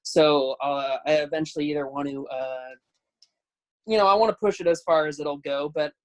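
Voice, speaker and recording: A man in his thirties, a pro wrestler on a video call, with a slightly high voice. He talks hesitantly, pausing on fillers and laughing at himself. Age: 30s. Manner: hesitant, filler-heavy, laughs self-deprecatingly. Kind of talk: casual chat.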